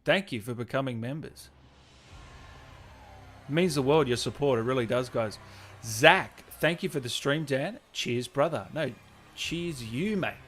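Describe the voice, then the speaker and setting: A man hosting a casual livestream, reading out viewer messages and thanking people with effusive, drawn-out, hyped shout-outs. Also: medium pitch, slight background noise. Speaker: livestream host and channel owner. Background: music playing behind the voice.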